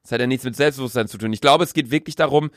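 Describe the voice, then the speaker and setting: A young man co-hosting a casual podcast, slangy and quick to laugh, rambling through his points. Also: mid-range voice, dry recording, studio quality. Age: young adult. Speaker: podcast co-host. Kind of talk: casual banter.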